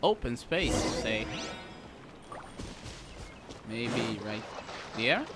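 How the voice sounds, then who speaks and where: A man's voice: medium pitch, big pitch swings, extremely slow, over loud music. A man giving casual, chatty playthrough commentary as a gaming host, with playful asides.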